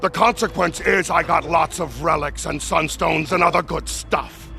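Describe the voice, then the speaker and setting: A man playing a menacing, theatrical goblin warlord in a video game, with a snarling voice and a slow, mocking delivery. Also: a little high, fairly even intonation, sharp tone, soft background music. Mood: gloating mockery. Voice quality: gravelly, snarling. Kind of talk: video game villain acting.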